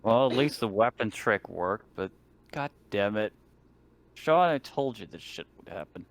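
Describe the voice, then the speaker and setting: A man with a slightly low voice takes part in a tabletop roleplaying game. He speaks in casual, conversational player chat. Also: lively intonation, a little slow.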